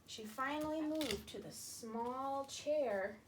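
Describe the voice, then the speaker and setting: Slow, high-voiced woman telling children's stories. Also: background noise. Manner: slow, repetitive, emphatic, explaining to small children. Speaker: children's storyteller. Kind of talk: story time for young children, narrating with puppets.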